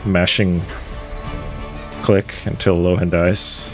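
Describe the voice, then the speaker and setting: A man's voice: deep voice, little pitch movement, slow pace, faint music behind. A man live streaming a game, giving casual, joking, slangy commentary and talking back to what happens on screen. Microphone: streamer's microphone, game music behind.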